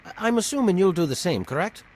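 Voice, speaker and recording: Game villain: saloon owner, high-pitched for a man, wildly swooping, smug and grandiose. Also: big pitch swings, quick pace, darkish tone, slight background noise. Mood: smug, knowing. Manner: smooth-talking, theatrical, condescending. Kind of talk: video game character dialogue.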